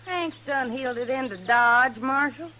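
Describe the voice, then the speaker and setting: An actress playing a weary, plain-spoken mountain wife in a radio Western, with folksy Southern backwoods speech. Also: female voice, sits high, measured pace, bright, forceful tone. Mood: plaintive, resigned. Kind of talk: old-time radio Western acting. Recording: noisy old radio recording.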